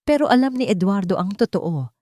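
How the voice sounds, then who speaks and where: A woman's voice: medium pitch, very animated, a little fast, dark tone, no room sound. A woman narrating a story in a scripted, dramatic and suspenseful style.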